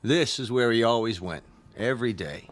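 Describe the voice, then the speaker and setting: A man's voice: mid-range voice, animated, normal speed, natural voice. An actor playing a plain-spoken, rule-minding male guard in a radio drama. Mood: wary, matter-of-fact. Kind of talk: old-time radio drama acting.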